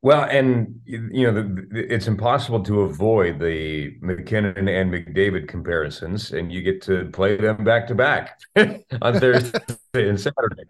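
A man's voice: on the low side, unhurried. A man, a radio play-by-play announcer, giving wordy sports analysis as an interview guest, often repeating words as he searches for the next phrase. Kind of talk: sports interview chat about hockey.

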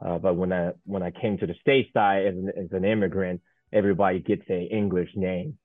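A man's voice: sits low, steady tone, a little fast, dry recording. A man in his thirties from Taiwan, a native Mandarin speaker, chatting casually as an interview guest and rambling with many fillers and restarts. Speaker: guest, Paralympic sled hockey goaltender. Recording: tinny phone-like line.

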